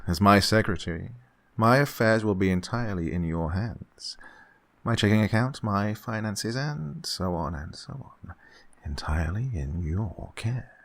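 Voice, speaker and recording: An actor playing an unctuous, scheming gentleman employer who feigns kindly absent-mindedness, fussy and repetitive, in an old-time radio horror drama style. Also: lowish voice, expressive, a little slow. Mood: probing, pompous. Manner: fussy, hesitant, repeats himself, chuckling.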